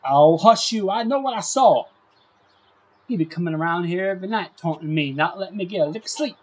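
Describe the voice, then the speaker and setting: A man's voice: high-pitched, lively intonation, fairly quick. An actor playing a cranky, superstitious old country man, indignant and folksy, in an audio drama. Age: older. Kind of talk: audio drama character acting.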